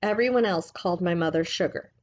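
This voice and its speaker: A woman reading a book aloud like a teacher, in a gentle, measured, explanatory way. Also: fairly low pitch, lo-fi sound, slight background noise.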